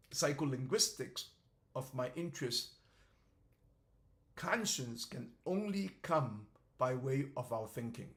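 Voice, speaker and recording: A man in his seventies giving a reflective lecture, speaking in short halting phrases and often repeating words as he searches for them. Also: mid-range voice. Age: 70s. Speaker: author presenting his theory.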